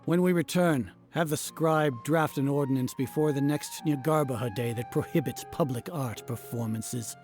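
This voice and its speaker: Game antagonist played by a man: haughty scholar-official, mid-pitched, pompous, formal, contemptuous, music underneath. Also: moderate pace, hardly any pauses, darkish tone, close to an everyday voice. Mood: cold, commanding. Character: haughty authoritarian male grand scholar, contemptuous of art. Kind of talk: video game voice acting.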